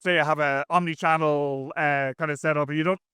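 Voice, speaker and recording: A man's voice: on the high side, steady tone, a little fast, sharp tone, clear, resonant tone, no echo, studio quality. A man chatting casually as an interview guest, talking in a rambling, slangy way. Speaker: interview guest, software engineer.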